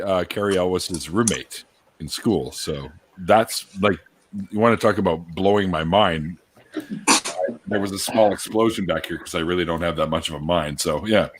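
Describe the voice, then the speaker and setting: A man's voice: low-pitched, slight background noise. A Canadian man hosting a casual livestream chat over a video call, joking and laughing often. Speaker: host.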